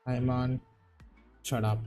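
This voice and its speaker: A man's voice: medium pitch, level tone, takes it slowly, over music. A man with an Indian accent, a native Hindi speaker, giving casual off-the-cuff commentary as he plays a game. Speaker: streamer playing a game.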